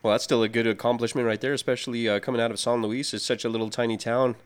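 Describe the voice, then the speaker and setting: A male radio host who talks fast in a smooth, clear voice. He asks friendly, encouraging questions and draws out his guest's stories. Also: mid-range voice, little pitch movement. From southern Colorado. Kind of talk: interview questions.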